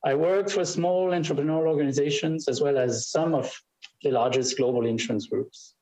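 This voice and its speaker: Man, 50s, corporate chief, steady scripted testimony. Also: a little high, low-quality recording. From Switzerland. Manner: measured, reading scripted remarks. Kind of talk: formal prepared statement. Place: legislative committee room.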